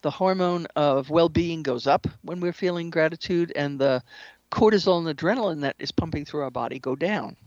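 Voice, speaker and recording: A woman speaking as an interview guest, explaining spiritual ideas in an earnest, measured way. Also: deep voice, a little mellow, no echo, muffled recording. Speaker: interview guest, shamanic practitioner and author.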